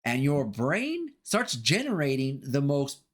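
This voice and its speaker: A man's voice: medium pitch, huge pitch swings, slow. A man narrating a scripted horror video essay.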